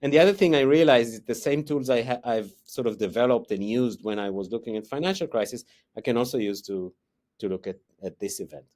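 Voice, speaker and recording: A middle-aged man with an Israeli accent, an economics professor answering interview questions haltingly, with many fillers and restarts. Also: medium pitch, a little mellow.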